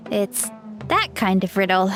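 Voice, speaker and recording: A woman voicing a gentle, curious young woman adventurer in a video game, earnest and musing. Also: medium pitch.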